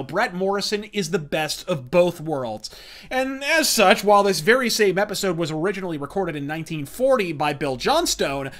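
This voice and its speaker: A man with a high, forceful voice delivers scripted pop culture commentary as the host. His wording is florid and freely profane, and he punches his phrases hard, in the manner of a rant. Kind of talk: scripted commentary, rant-style countdown review.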